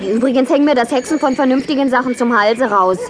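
A woman's voice: high-pitched, fast talker, crowd noise, lightly acted. An actress playing a cheeky, restless young witch girl with a childlike, pouty, eager manner in a children's audio play. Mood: pouty, defiant.

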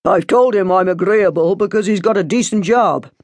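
A woman voicing a curt, domineering middle-aged father with a sharp, bossy tone in an audiobook story. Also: mid-range voice, moderate pace, no room sound, voice pushed up, lightly acted. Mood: firm.

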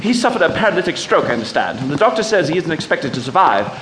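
An actor playing a fussy, flustered and snobbish hotel manager in an audio drama, officious and easily exasperated. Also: male voice, on the high side, very animated, fast talker, a bit bright. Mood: officious, brisk. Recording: roomy sound with clear background noise.

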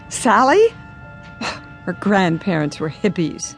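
An actress playing a vain, hard-of-hearing company boss, haughty and impatient, in an audio drama. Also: female voice, medium pitch, sing-song, extremely slow, creaky, faint music behind, exaggerated voice. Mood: irritated. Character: imperious, vain, hard-of-hearing female cosmetics executive. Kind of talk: radio drama acting.

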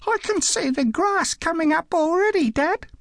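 A man's voice: extremely high pitch, very animated, moderate pace, gravelly, a bit bright, no echo, studio quality, pitched above the performer's own voice, exaggerated voice. A man voicing an eager, chattering little girl who questions her father in a childlike way. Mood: excited.

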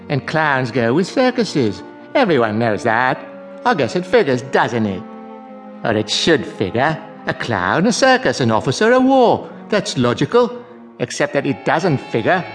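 An actor playing a sardonic clown in a radio drama, blithely resigned, with an arch, florid and mocking delivery. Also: male voice, medium pitch, sing-song, a little slow, soft background music.

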